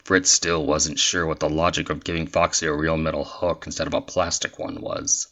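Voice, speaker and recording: A man's voice: a bass voice, level tone, moderate pace, a bit bright, muffled recording. A man reading scripted story narration for an audio drama.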